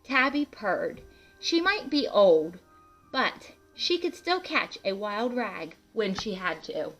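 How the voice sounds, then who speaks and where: A woman reading a picture book aloud to children in an animated way, adding asides and questions to the listeners. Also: medium pitch, slow pace, soft background music, low-quality recording. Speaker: read-aloud host for children.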